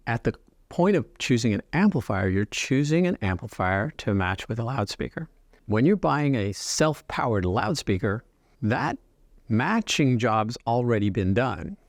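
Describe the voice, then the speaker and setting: A man giving an explanatory talk as a company founder and designer, speaking in measured, deliberate phrases with pauses. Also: medium pitch, darkish tone, no room sound, clean studio sound. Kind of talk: explanatory product talk to camera.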